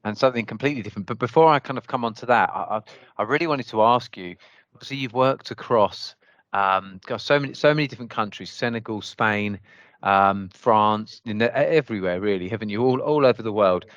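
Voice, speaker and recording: A man's voice: fairly low pitch, a little fast, a bit bright, dry recording, dull audio. A man hosting a podcast, asking questions hesitantly and often repeating words as he forms them. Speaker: podcast host, interviewer. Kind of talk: interview questions.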